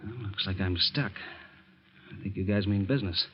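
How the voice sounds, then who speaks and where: An actor playing an earnest ex-convict and caring uncle in a 1940s radio drama. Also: male voice, sits low, normal speed, natural voice. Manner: earnest, expressive, tender then firm. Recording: muffled 1940s radio recording.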